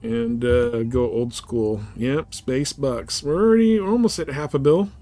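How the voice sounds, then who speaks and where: A man's voice: medium pitch, a little slow, warm tone. A man chatting casually with viewers as he live streams a game. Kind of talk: casual chat over gameplay.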